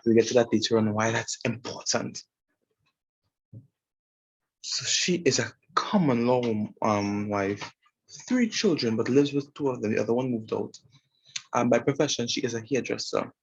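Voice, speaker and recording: A man's voice: medium pitch, normal speed, vocal fry, long pauses, slightly rough. A young Trinidadian man giving an informal talk about a woman he interviewed, speaking hesitantly with many fillers and restarts. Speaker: student presenter. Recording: muffled, lo-fi sound.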